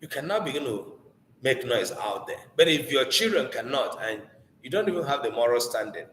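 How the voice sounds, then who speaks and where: A man's voice: medium pitch, very animated, brisk, fairly bright tone, slight background noise. A man with a Nigerian Igbo accent teaching a live online class informally, earnest and exhorting, posing rhetorical questions. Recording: echoey room with long reverb.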